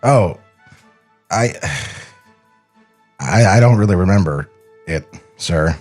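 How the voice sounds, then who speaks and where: A man's voice: low-pitched, lively intonation, slow, a little mellow, faint music behind, exaggerated voice. A man playing a nervous, sheepish young studio artist in a comedy table read, stammering and apologetic.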